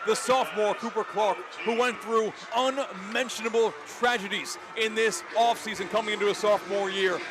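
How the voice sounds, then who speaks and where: High-pitched, emphatic male play-by-play announcer. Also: fairly even intonation, moderate pace, few pauses, crowd noise, background noise. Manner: emphatic, dramatic phrasing. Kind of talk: excited live football commentary.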